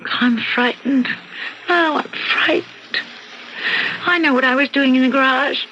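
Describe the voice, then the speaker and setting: An actress playing a defiant, bored housewife with murderous wishes, arguing and justifying herself in an old-time radio mystery style. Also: female voice, sits high, unhurried, pushed and bright, exaggerated voice. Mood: frightened. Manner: argumentative, self-justifying. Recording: muffled vintage radio recording with noise.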